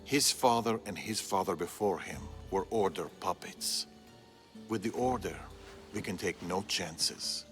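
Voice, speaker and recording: Low, slow, solemn Persian assassin. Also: male voice, a bit bright, faint music behind. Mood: resolute. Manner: solemn, deliberate. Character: grave, determined older Persian assassin, a guilt-burdened father. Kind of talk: dramatic video game acting.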